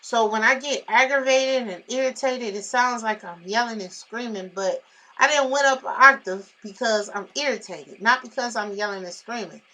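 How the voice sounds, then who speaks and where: Female vlogger, naturally loud, forceful and high-pitched, blunt emphatic delivery for personal storytime venting. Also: moderate pace, low-quality recording. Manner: blunt, emphatic, animated retelling with repetitions.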